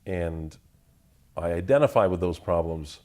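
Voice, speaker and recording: A man whose first language is Russian, answering interview questions in a measured, deliberate way, pausing to find his words. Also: deep voice, lively intonation, a little mellow. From Russian family, raised in the United States. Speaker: interview guest. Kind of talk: thoughtful conversational answers.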